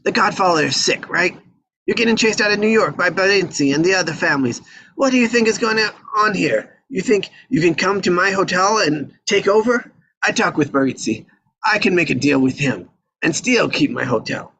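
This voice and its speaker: A man's voice: high voice, expressive, moderate pace, vocal fry, pushed and bright, a little hiss or hum, full character voice, poor audio. A man voicing a brash, combative mob casino boss in a script read, with a blustering, punchy delivery. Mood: angry, blustering. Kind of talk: live table read of a crime film script.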